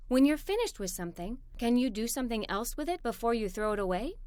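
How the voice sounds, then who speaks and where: Woman as an upbeat, lively young babysitter. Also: a little high, fast, dry recording, voice pushed down. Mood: enthusiastic explaining. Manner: encouraging, explaining like a teacher. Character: smart, upbeat young female university student babysitter.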